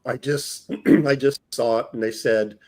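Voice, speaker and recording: Male show host, mid-pitched, halting and self-mocking, laughs at himself. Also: fairly even intonation, a little mellow. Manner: hesitant, self-deprecating, chuckling. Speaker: podcast host. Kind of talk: casual live-stream chat.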